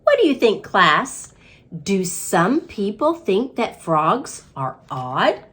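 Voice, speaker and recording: A woman voicing a brisk female schoolteacher in a storybook, firm but encouraging as she instructs children. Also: mid-range voice, sing-song, a bit bright.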